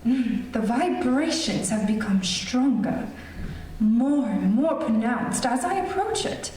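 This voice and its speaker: In a very echoey space, a little far from the mic, a woman plays a self-important psychic doctor in an old radio horror play. She has a high voice and speaks in formal, deliberate, portentous phrases. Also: expressive, a little mellow. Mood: grave, intent. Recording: echoey distant stage recording, faint noise.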